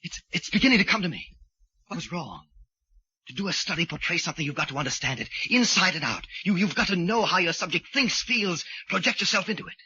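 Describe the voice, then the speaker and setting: Radio drama: intense, halting, unhinged sculptor fixated on death, highish voice with big dramatic swings. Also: male voice, animated, sharp tone, slightly rough, dry recording, full character voice. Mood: fervent, obsessed. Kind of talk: 1940s radio acting. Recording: muffled, hissy 1940s radio recording.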